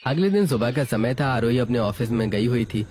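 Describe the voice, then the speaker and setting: A man's voice: medium pitch, animated, fairly quick, darkish tone. A man reading scripted narration for a family moral story, setting out scenes as the narrator.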